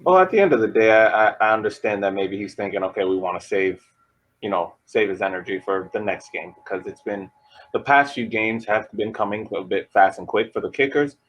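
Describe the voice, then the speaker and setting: A man co-hosting a podcast, laying out soccer analysis in measured, complete arguments. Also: on the low side, muffled recording. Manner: measured, argues in full sentences. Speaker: podcast co-host.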